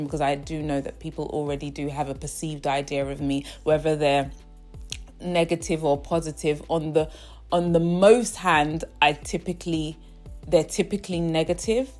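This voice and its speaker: A young woman with a low, deep-set voice talks straight to the camera in a loose, chatty way. She keeps checking in with her viewers as she goes, and soft music plays quietly underneath. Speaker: vlogger host. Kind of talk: casual personal vlog monologue.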